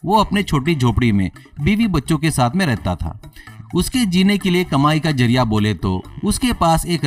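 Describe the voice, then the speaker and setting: A man's voice: medium pitch, distant mic. A man narrating a scripted moral story as a storyteller.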